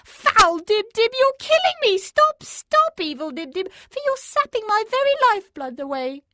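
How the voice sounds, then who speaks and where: A woman voicing a shrill, screeching old crone who scolds with sharp scorn. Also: sing-song, normal speed, no echo, exaggerated voice. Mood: wailing.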